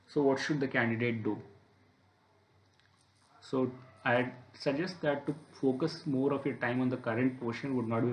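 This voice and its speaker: A man working methodically through an exam paper as an instructor, explaining and repeating his points. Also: medium pitch. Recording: echoey room.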